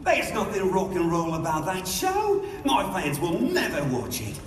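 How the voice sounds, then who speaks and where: A man voicing a brash, excitable rock star in a cartoon table read, slangy and swaggering. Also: high-pitched, a little slow, a little hiss or hum, full character voice. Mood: indignant. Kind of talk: animated series table read. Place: large convention panel room. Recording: echoey hall from far off.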